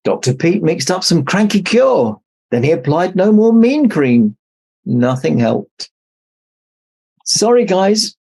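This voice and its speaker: A man narrating a children's story aloud as an expressive storybook reader. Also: fairly high pitch, a little slow, creaky, breathy edge. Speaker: storybook reader in Santa persona.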